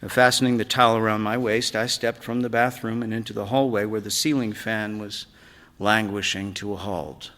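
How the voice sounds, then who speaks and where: A man reading a literary story aloud on stage, in a measured, dramatic way that moves between hush and sudden swells. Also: lowish voice, steady tone. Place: large theatre stage. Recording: reverberant hall, live stage reading.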